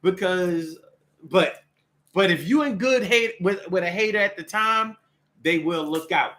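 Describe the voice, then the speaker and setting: A man's voice: high-pitched, unhurried, pushed and bright. An American man giving a casual, opinionated solo monologue in streetwise slang, repeating phrases for emphasis. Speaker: solo show host.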